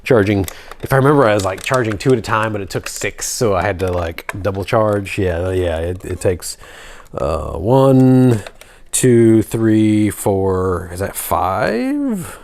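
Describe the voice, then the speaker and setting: A man's voice: on the low side, very animated, a little slow, a little mellow. A man presenting a hobby video in a casual, nostalgic show-and-tell style, rambling and thinking aloud as he goes. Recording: clear background noise with clicks.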